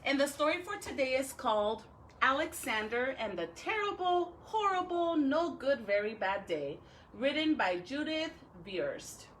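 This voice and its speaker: A woman talking and reading aloud to young pupils as a school educator, with expressive, drawn-out phrasing. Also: sits high, slight background noise, poor audio. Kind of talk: read-aloud for children with chatty intro.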